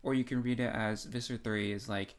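Casual podcast co-host explaining, mid-pitched voice. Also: steady tone, brisk. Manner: informal, explanatory. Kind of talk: casual chat discussing books.

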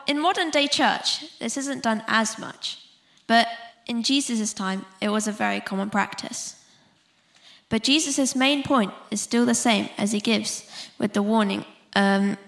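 A woman's voice: mid-range voice, creaky. A woman giving a prepared sermon as a speaker at a church.

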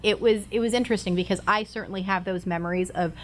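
A woman's voice: medium pitch, fast, a little hiss or hum. A middle-aged woman from Costa Rica, a guest on a podcast, telling her story in an articulate, easygoing way and laughing easily. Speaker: guest, college radio and television instructor. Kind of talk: interview storytelling.

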